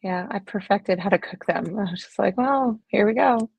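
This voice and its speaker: Gravelly, husky woman chatting. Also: medium pitch, normal speed. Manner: relaxed, agreeable, easygoing. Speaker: stream guest, mother. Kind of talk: casual chat.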